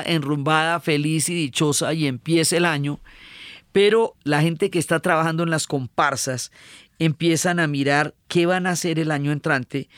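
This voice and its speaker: A man's voice: a little high, fairly bright tone, no echo, clean studio sound. A man, explaining carnival traditions enthusiastically and repeating words for emphasis. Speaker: cultural commentator.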